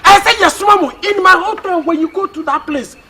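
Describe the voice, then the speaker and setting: A man's voice: very high voice, fast talker, pushed and bright, exaggerated voice. A man with a Ghanaian accent playing a domineering, indignant elder of the house in a comic drama, insistently repeating his phrases. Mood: boastful. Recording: very noisy, clicking audio.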